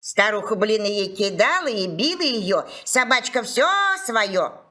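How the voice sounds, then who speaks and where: A woman storyteller giving an expressive scripted fairy-tale narration. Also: medium pitch, a little slow. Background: music.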